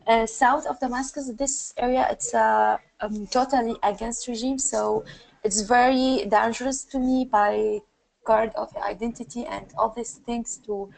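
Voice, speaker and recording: A woman in her twenties from Syria with a Syrian Arabic accent, giving a personal account on a panel in halting, non-native English, often searching for words. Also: on the high side, fairly even intonation, creaky, a little mellow, poor audio. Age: 20s. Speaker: activist and panelist.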